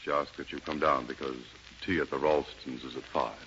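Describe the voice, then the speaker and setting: An actor playing a formal, deferential household butler in a 1930s radio drama. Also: male voice, a bass voice, fairly even intonation, a little fast, a bit bright. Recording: muffled, noisy 1930s radio recording.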